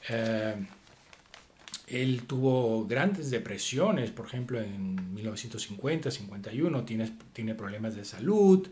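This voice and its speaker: A man giving an unscripted philosophy lecture, pausing often with fillers and asking rhetorical questions. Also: lowish voice, expressive, darkish tone, a little hiss or hum.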